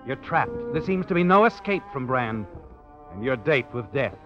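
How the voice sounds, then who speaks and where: An actor playing a knowing, taunting narrator in old radio suspense style, insinuating and ominous. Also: male voice, medium pitch, very animated, moderate pace, lightly acted. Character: knowing, taunting male narrator haunting the hero. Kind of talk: 1940s radio suspense narration in second person. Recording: dull vintage radio recording. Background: dramatic music.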